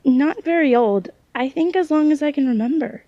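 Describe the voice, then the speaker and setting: A hesitant young woman played by an actress in an audio drama, with a fairly high voice. She speaks haltingly and doubts herself. The sound is dry and a little dull. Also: dark tone, dry recording. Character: hesitant young woman with unreliable psychic sight. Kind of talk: naturalistic audio drama dialogue.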